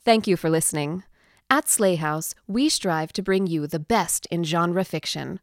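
A woman's voice: fairly low pitch, unhurried, no room sound, studio quality. A woman presenting for a publisher, reading a scripted promotional announcement.

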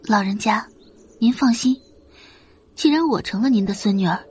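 A woman voicing a calm, sweet young heroine in an audio drama, speaking with earnest, respectful politeness. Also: medium pitch, moderate pace, breathy edge. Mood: earnest, resolute.

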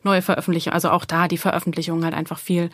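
Woman, slightly low voice, explanatory, conversational interview guest, very dry recording. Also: level tone, rapid-fire, no echo. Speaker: talent agency manager, interview guest.

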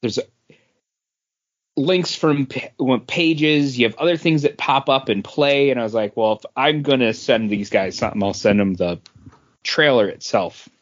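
Mid-pitched man in dull lo-fi podcast audio. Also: normal speed. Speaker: podcast co-host. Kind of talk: casual chat.

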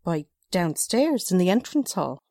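A woman's voice: lowish voice, very animated, unhurried, a little mellow, no echo, studio quality, heavily acted. A woman playing a defensive, easily riled working-class witness with folksy, ungrammatical talk in a 1940s-style radio drama. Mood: puzzled.

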